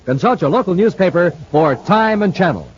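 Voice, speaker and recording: A man's voice: sits high, animated, brisk. A man announcing in an emphatic, theatrical 1950s radio style, reading scripted dramatic promotion. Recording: noisy muffled vintage radio recording.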